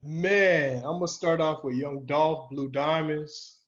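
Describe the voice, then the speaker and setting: Higher-pitched American man, slangy casual talk. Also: takes it slowly, lo-fi sound. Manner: slangy, profane. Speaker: podcast co-host. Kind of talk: casual chat.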